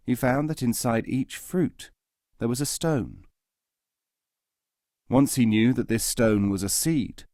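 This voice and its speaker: A man narrating scripted tales as an audiobook reader, in a measured, articulate storytelling manner. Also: fairly low pitch, mellow, dry recording, studio quality.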